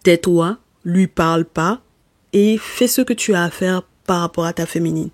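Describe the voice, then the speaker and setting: A tarot reader who is not a native speaker talks straight to the listener and scolds them with emphasis. The voice sits in a middle pitch range. The sound is dry and close, with no room echo. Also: moderate pace. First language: not French. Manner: emphatic and scolding, often checks in with "okay?"; speaks directly to listeners with terms of endearment. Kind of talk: spoken tarot reading addressed to viewers.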